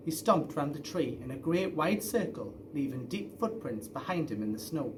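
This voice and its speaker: A man's voice: a little high, sing-song, moderate pace, background noise. A man reading a children's story aloud expressively, as a storyteller. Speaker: story reader.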